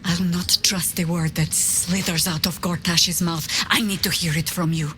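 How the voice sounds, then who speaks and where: A principled, loyal woman warrior, acted by a woman from a fantasy game. Her voice is on the low side, earnest and measured, with faint ambience behind it. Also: fairly even intonation, a bit bright, a little hiss or hum, close to an everyday voice. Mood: wary demand. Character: resolute, principled woman warrior companion, guarded but loyal. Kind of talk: game dialogue acting.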